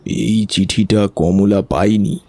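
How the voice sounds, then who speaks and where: Guy doing a quiet older uncle, deep and gravelly, slow, kinda resigned. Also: fairly even intonation. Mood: somber. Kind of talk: audio story acting.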